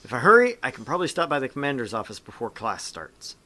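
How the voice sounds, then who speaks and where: A man's voice: medium pitch, big pitch swings, fast, sharp tone. A man voicing a bewildered young male student in a visual novel game, muttering in exasperation. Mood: exasperated.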